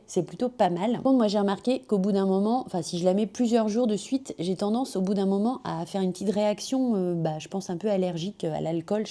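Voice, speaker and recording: Chatty woman with a slightly hoarse cold voice. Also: medium pitch, warm tone. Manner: chatty, many fillers and self-corrections. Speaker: beauty vlogger. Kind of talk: casual product review chat. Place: at home with a machine running nearby. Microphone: small magnetic clip-on mic.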